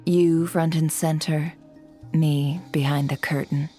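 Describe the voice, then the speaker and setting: Game casting: scheming lady spymaster, lowish register, smooth and theatrically courteous, faint music underneath. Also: little pitch movement, warm tone. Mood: sly, confiding. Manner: smooth, sly, measured, theatrically polite. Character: suave, scheming woman who runs an intelligence business. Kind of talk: video game cutscene acting. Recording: background music behind voice.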